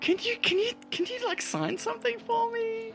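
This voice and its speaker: A man voicing a young, cheeky, excitable street urchin boy in a tabletop roleplaying game, with slangy, childlike talk. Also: very high-pitched, moderate pace, gravelly, soft background music, pitched above the performer's own voice, exaggerated voice. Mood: starstruck, excited. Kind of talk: tabletop actual play character voice.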